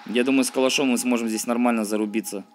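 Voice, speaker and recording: A man's voice: medium pitch, steady tone, quick pace, slight background noise. A man giving casual, slangy let's-play commentary, thinking aloud and grumbling as he plays. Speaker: gaming video host.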